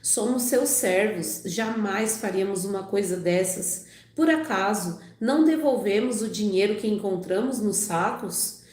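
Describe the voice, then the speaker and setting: A woman's voice: mid-range voice, animated, a little slow, hardly any pauses, a little mellow, reverberant. A woman hosting a live channel, reading the Bible aloud and chatting, her voice a little off from a cold, with lots of "né" and "tá bom" tags. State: recovering from a cold. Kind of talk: casual livestream talk and Bible reading aloud.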